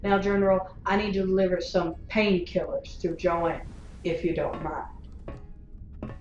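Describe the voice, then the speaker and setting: An actress playing a wry, blunt, independent space gunslinger in a science fiction audio drama. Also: female voice, on the low side, steady tone, slow pace, darkish tone, roomy sound, highs cut off, faint music behind. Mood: wry, defiant.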